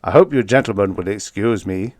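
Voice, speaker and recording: A man's voice: on the low side, brisk, a little mellow, dry recording, lightly acted. A man voicing a genial, avuncular elder president in an audiobook, with an emphatic, hearty manner. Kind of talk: audiobook character acting.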